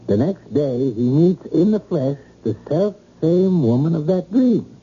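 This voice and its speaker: A man's voice: fairly high pitch, lively intonation, measured pace, warm tone, slightly rough, full character voice. A man playing a grave, earnest doctor with emphatic rhetorical phrasing, in old-time radio drama style on a muffled recording.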